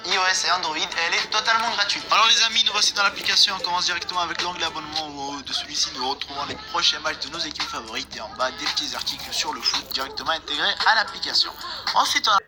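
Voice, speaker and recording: Fast-talking young man, music behind. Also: a little high. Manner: mock-analytical, slangy, teasing. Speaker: host. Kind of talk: comedic music video commentary.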